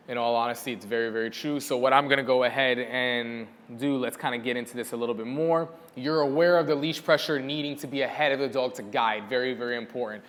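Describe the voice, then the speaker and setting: A man's voice: medium pitch, fairly bright tone. Man coaching in a reverberant hall. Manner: coaching, repeats words for emphasis. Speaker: dog trainer answering viewer questions. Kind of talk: casual instructional Q&A.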